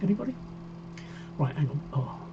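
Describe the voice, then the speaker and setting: A man in his sixties talks through a home live stream in a loose, rambling way, repeating phrases as he goes. His voice sits a touch high, and the audio is dull, with a clear electrical hum behind him. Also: big pitch swings, normal speed. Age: 60s. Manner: chatty, rambling, self-repeating. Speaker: livestream host. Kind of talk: casual live-stream chat reading viewer comments. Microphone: desk microphone.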